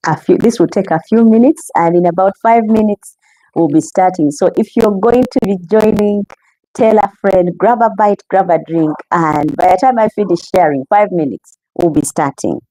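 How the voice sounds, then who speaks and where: A woman's voice: medium pitch, normal speed, no room sound, low-quality recording. A woman from Uganda, hosting a casual live-stream podcast and greeting viewers in a chatty, welcoming way. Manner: warm welcoming, chatty, talks straight to viewers. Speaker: podcast host.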